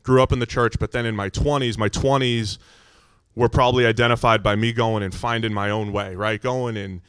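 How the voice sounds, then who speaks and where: Middle aged american guy, big booming lowish voice, chatty church testimony, pretty dry sound. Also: steady tone, brisk, clear, resonant tone, no echo. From Massachusetts, United States. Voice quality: loud, booming voice. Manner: informal, conversational storytelling. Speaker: visiting church speaker. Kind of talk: personal testimony to a congregation.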